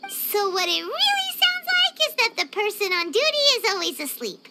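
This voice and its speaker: A woman voicing a small, chatty, childlike fairy companion in a video game, chirpy, expressive and helpful. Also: very high voice, normal speed, very noisy, full character voice. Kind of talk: video game dialogue acting.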